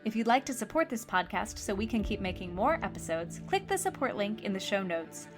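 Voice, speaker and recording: A woman's voice: medium pitch, sing-song, fairly quick, music in the background. A woman reading a scripted podcast outro as the announcer.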